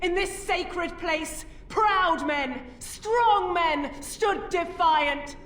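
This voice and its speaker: A defiant warrior commander in a fantasy game, high-pitched and declamatory. She speaks slowly and resolutely, from a distance in an echoing hall. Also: fairly bright tone, full character voice. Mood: rousing defiance. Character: defiant female warrior commander rallying besieged troops. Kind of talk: fantasy video game oratory. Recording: echoey hall, distant, faint noise.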